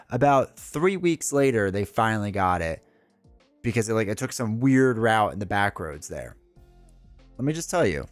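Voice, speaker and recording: A stuffed-up man with a cold talks on and on in a loose, chatty ramble, his fairly low voice swinging up and down with lots of life. He drifts from aside to aside, filling gaps with ums and uhs as he sets up a board game on a live stream. Soft music plays faintly underneath. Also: no echo. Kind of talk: casual live-stream chat.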